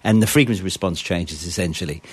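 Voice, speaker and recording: Guy breaking down mic gear on a panel, lowish voice, dry sound, stumbles then gets steady. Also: husky, dry recording. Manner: halting starts, then steady explaining. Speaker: audio engineer on a panel. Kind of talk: technical explanation.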